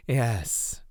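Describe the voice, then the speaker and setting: A man playing an ancient cave monster in an ASMR roleplay, husky and low, speaking formally with predatory, teasing menace. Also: a little mellow, dry recording, clean studio sound, exaggerated voice. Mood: taunting judgment.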